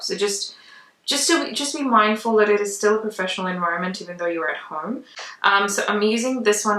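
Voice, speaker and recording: A woman's voice: medium pitch, fairly bright tone. A woman giving a casual makeup tutorial in a chatty, conversational way. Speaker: makeup vlogger. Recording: roomy echo, distant mic.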